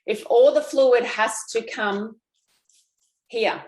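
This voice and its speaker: A chatty, folksy woman leads viewers through a self-massage routine, speaking at an unhurried pace. Her voice bounces around an echoey room. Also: on the high side, darkish tone. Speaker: lymphatic drainage instructor. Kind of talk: guided self-massage class.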